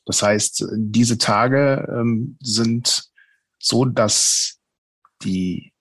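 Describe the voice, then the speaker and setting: A man with a husky voice explaining things informally as an interview guest. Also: medium pitch, drawn-out words, a bit bright, dry recording, rough recording. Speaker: paranormal investigator, interview guest.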